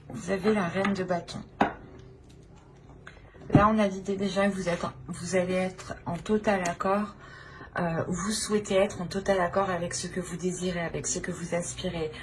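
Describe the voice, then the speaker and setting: A woman's voice: on the low side, fairly even intonation, moderate pace, pauses often, background noise. A woman giving an unscripted tarot reading to viewers, searching for words and often rephrasing herself. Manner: hesitant, searching, repeats and rephrases phrases. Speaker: tarot reader, channel host.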